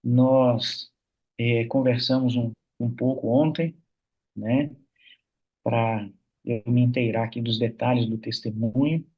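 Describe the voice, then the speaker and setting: A man's voice: mid-range voice, steady tone, slow pace, breathy edge, dull audio. A man from Minas Gerais hosting an online church prayer meeting, chatty and full of fillers. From Minas Gerais, Brazil. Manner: warm, chatty, many fillers like "né". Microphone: video call.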